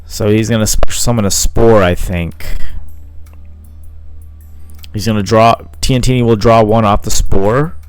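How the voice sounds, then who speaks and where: A man calls a card game match live in a loose, chatty way, thinking out loud and filling pauses as he goes. His voice sits low and comes across smooth and resonant. The recording is dry and close, with faint background music. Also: steady tone, dry recording. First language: not Italian. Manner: casual, thinking aloud with fillers.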